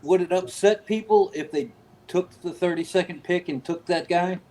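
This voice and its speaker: A man's voice: sits high, moderate pace, mellow, rough recording. A man chatting casually as a panelist on a sports show. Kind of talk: casual chat.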